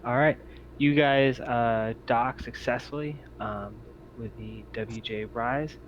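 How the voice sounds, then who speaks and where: A man acts as game master in a casual online tabletop session. He pauses often and encourages as he narrates. The sound is slightly muffled, with faint noise behind him. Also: medium pitch, fairly even intonation. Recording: muffled, noisy home mic on online call.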